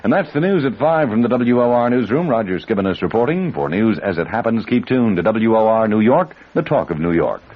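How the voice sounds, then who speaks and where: An American man reading scripted radio news in a formal, measured announcing manner. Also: on the low side, lively intonation. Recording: dull vintage radio broadcast recording.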